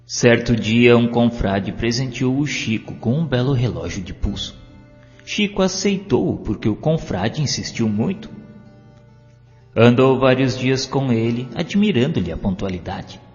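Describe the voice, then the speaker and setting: A man with a Brazilian accent narrates a scripted inspirational story in a measured, solemn, literary way. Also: medium pitch, expressive, darkish tone. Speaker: audiobook narrator. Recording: dull lo-fi, long reverb, music behind. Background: music.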